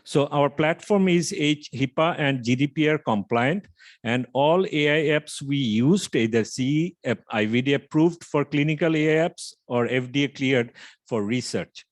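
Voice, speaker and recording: A man in his fifties from Bangladesh, speaking, gives a measured, explanatory startup pitch over a video call with frequent filler pauses. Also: dry recording, clean studio sound. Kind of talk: online pitch presentation.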